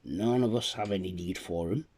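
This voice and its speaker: A man's voice: low-pitched, moderate pace, a little mellow. A man voicing a jolly, generous man who helps his poor village and laughs "ho, ho", speaking eagerly and heartily.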